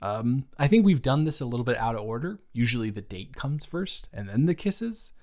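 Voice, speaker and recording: A man's voice: medium pitch, big pitch swings, a little mellow, natural voice. Man playing a smitten, rambling young roommate. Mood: earnest, teasing. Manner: halting, rambling, stammering, emphatic bursts. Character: smitten, awkward, playful young male roommate confessing love. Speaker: audio roleplay performer. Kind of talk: improvised romantic audio roleplay, intimate one-sided conversation.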